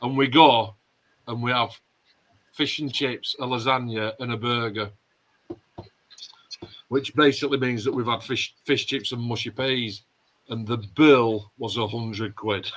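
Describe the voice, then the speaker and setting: A man's voice: mid-range voice, fairly even intonation, a little slow, pauses often, a bit bright, low-quality recording. A middle-aged man with a Yorkshire accent hosting a casual online chat, telling stories bluntly with plenty of swearing. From Yorkshire, England. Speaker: host of a modelling club chat.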